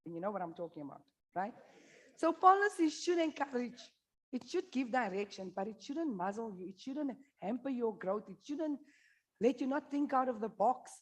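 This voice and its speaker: A South African woman giving a conference talk into a microphone, her voice sitting a touch high. She speaks bluntly, with dry humour, and talks directly to the people in the room. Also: expressive, normal speed. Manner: blunt, humorous, addresses the audience directly. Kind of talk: candid conference presentation.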